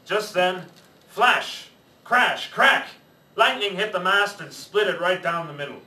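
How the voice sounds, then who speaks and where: Man storyteller reading aloud to kids, bright tone, distant roomy sound, faint noise behind. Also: high-pitched, a little slow. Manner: lively read-aloud, shouting pirate lines in a gruff put-on voice. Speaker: children's storyteller in pirate costume. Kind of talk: reading a picture book aloud to children.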